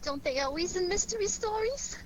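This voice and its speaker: High-voiced, devoted detective's sweetheart. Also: female voice, lively intonation, fairly quick, lo-fi sound. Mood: teasing, affectionate. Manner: playful, supportive. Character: Mary, devoted, teasing young female sidekick. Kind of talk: 1940s radio detective drama acting. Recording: noisy old 1940s radio recording.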